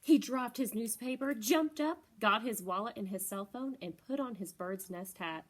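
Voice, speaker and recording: A woman's voice: fairly high pitch, normal speed. A woman in her thirties reading a children's storybook aloud expressively as a library storytime presenter. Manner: expressive, addressing young children. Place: small library corner, indoors.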